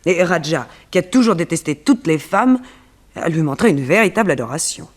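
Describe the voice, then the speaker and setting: A woman's voice: lowish voice, lively intonation, a little fast. A beautiful, self-possessed woman in a radio detective serial. She answers in composed, formal sentences and articulates with care. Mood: cool, confiding.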